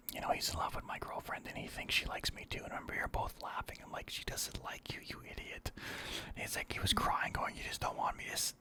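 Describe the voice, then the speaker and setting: Male, casual storyteller. Whispery and breathy, highly animated intonation, loose conversational delivery. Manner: animated retelling with quoted dialogue, swearing freely.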